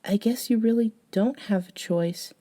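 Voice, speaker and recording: A woman playing a gentle, eccentric young woman, a caring friend, in an intimate ASMR audio roleplay, soft-spoken with hesitant pauses. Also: fairly high pitch, close to an everyday voice. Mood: tentative, caring.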